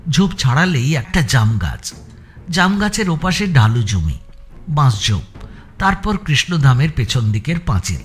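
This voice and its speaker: A man narrating a scripted suspense audio story, with deliberate, descriptive phrasing. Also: medium pitch, sing-song, soft background music.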